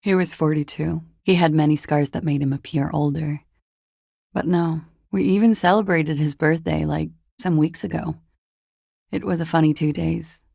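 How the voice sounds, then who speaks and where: A guarded, seductive woman from a video game, weary of the world. Her low voice is full of fry and moves slowly, with wry hesitations. The tone is dark and mellow. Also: fairly even intonation, no echo, studio quality. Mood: wistful. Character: world-weary, guarded, seductive disco-dancer woman recounting a dead lover. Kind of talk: video game dialogue acting.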